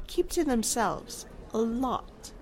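A woman's voice: fairly high pitch, lively intonation, slow, background noise. A woman acting an affected, insinuating small-town society gossip in a 1940s-style radio drama. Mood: gossipy.

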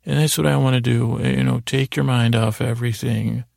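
A man hosting a sleep podcast, rambling softly and hesitantly through a lulling bedtime monologue, often repeating himself and trailing off. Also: mid-range voice, fairly even intonation, moderate pace, gravelly, no room sound, studio quality. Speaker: podcast host.

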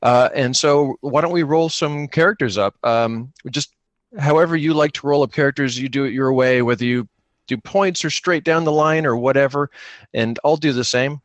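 Guy guesting on a podcast, mid-pitched, jokey and mock serious, really dry sound. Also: normal speed, no echo. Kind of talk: casual chat.

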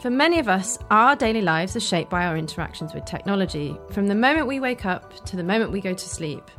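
A woman's voice: medium pitch, expressive, quick pace, fairly bright tone, faint music behind. A British woman hosting a podcast, speaking in a scripted narration style. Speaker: podcast host.

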